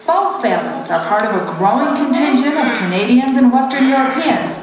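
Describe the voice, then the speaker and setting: A woman's voice: on the high side, animated, a little fast. A woman reporter reading a scripted news narration. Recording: very echoey playback, far from mic.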